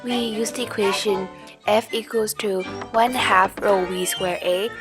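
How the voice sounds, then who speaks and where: A woman's voice: mid-range voice, steady tone, a little slow. A young female student explains technical material in a school project narration, with music playing underneath. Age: teens to young adult. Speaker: student presenter.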